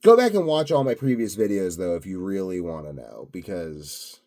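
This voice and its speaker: A man's voice: low voice, very animated, moderate pace, a little mellow. A self-assured, blunt man rambling unscripted to his viewers in a grandiose solo monologue. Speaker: solo video host.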